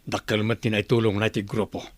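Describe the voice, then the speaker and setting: A man playing a stern, calculating leader of an armed group in a radio drama, measured and authoritative. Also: fairly low pitch, flat delivery, dry recording, lightly acted. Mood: cold, suspicious.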